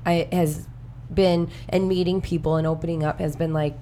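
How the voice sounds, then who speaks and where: A woman's voice: low-pitched, little pitch movement, moderate pace, mellow, a little hiss or hum. A young woman hosting a podcast, chatty and casual, with many fillers. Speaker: podcast host.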